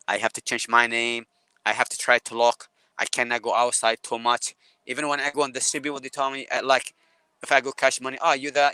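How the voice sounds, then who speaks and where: A man's voice: medium pitch, bright, forceful tone, clean tone, no echo, low-quality recording. Earnest Yemeni man on a video call. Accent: Yemeni Arabic. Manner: halting, repeats words, earnest. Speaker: guest photographer. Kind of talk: live call interview.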